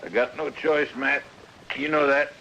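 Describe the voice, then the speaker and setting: An actor playing a proud, resigned Old West husband, plainspoken and grave, in 1950s radio western style. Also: male voice, medium pitch, fairly even intonation, unhurried, vocal fry, bright, forceful tone, muffled recording. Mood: stubborn. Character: stubborn, resigned frontier husband bound by honor. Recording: noisy, crackly old radio recording.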